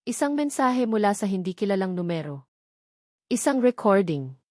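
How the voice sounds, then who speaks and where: A woman's voice: medium pitch, sing-song, normal speed, a little mellow, no echo, studio quality. A woman with a Filipino accent reading a scripted, dramatic story as its narrator.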